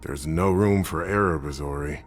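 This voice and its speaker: An actor playing a stern, cautious vampire adviser in a game cutscene, blunt and serious, with a gravelly voice. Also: male voice, a bass voice, moderate pace, soft background music. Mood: stern, insistent. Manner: blunt, serious, matter-of-fact. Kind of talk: dramatic video game cutscene acting.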